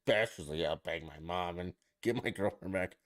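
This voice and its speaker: A man's voice: sits low, normal speed. A man co-hosting a podcast, asking crude questions and bragging in casual banter, a little tipsy. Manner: brash, joking, boastful.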